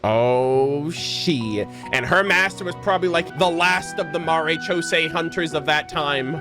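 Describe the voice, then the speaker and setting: A woman streamer giving casual, excitable commentary as she plays through a game story, often interjecting. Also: low voice, measured pace. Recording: game music behind the voice.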